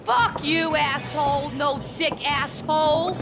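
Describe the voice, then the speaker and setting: A defiant, foul-mouthed woman taunts in a high voice, drawing her words out slowly. She is brash and fearless, in video game drama style, with music behind her. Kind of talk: video game cutscene acting.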